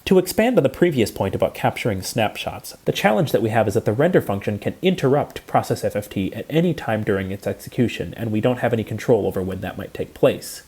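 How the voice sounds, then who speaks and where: Male lecturer, mellow and a little husky, lively intonation, precise scripted explanation. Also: fairly low pitch, fast, few pauses. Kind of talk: scripted technical lecture narration.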